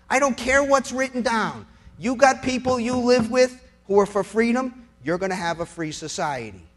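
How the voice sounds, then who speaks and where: A man's voice: sits high, fairly bright tone. A middle-aged American man giving a persuasive public speech as a lawyer, emphatic and rhetorical with a sardonic edge.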